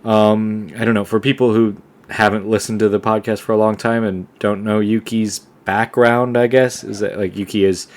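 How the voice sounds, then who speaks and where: A young man co-hosting a podcast, talking casually in a relaxed, rambling way with lots of fillers and restarts. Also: on the low side, moderate pace. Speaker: podcast co-host. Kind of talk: casual conversation.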